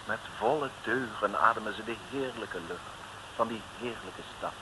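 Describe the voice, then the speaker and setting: A man's voice: on the low side, normal speed. A man narrating a radio comedy serial in a wry, playful way, with chatty asides to listeners. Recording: noisy vintage radio recording.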